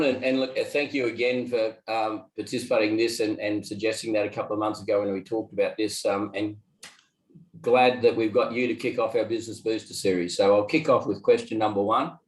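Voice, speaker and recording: A man hosting a webinar Q&A, talking in a friendly, slightly rambling way. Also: fairly low pitch. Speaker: webinar host. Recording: roomy echoey webinar audio.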